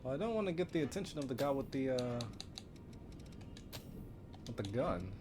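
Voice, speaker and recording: A man's voice: mid-range voice, big pitch swings, normal speed, noisy background. A man livestreaming a video game with casual, chatty, offhand commentary and some mild swearing. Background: video game music and sound.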